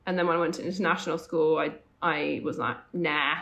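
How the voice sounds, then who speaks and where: A woman's voice: deep voice, steady tone, gravelly, fairly bright tone. A young woman from Hong Kong co-hosting a casual podcast chat, rambling and correcting herself as she goes. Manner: rambling, self-correcting, quick asides. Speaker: podcast co-host.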